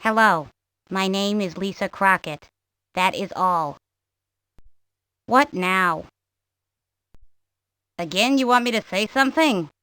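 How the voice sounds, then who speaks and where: A grumpy, aloof kid, a bored girl for a video game. She drags her words out extremely slowly in a smooth, deadpan drawl, recorded dry. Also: mid-range voice, dry recording. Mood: bored, irritated. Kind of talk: video game character introductions.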